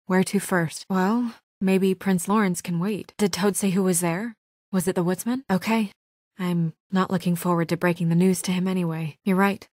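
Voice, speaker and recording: A woman playing a composed but anxious, dutiful young office administrator in a naturalistic video game drama, speaking in a measured, guarded, earnest way. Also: on the low side, darkish tone, no room sound, studio quality, lightly acted. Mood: firm, practical.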